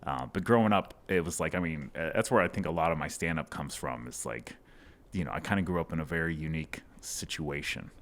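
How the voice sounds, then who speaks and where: Man, thirties, from Dallas, comedian, very deep voice, reflective storyteller, studio mic. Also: fairly quick. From Dallas, Texas. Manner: reflective storyteller, animated hand gestures. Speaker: stand-up comedian guest. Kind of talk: casual interview chat. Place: home studio with bookshelves. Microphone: boom-mounted studio mic with headphones.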